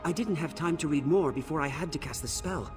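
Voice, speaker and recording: A man performs a bookish video game archivist with a fairly high voice. He is earnest and easily flustered, explaining himself like an anxious scholar, with clear music playing behind him. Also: fairly quick, natural voice. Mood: relieved, earnest. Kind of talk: video game character dialogue.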